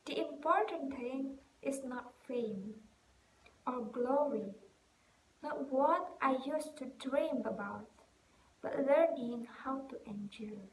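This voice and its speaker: A woman playing a weary, distraught young stage actress in an emotional, halting theatrical monologue. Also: high voice. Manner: halting, emotional, swinging from hushed to loud. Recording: echoey room with noticeable background hiss.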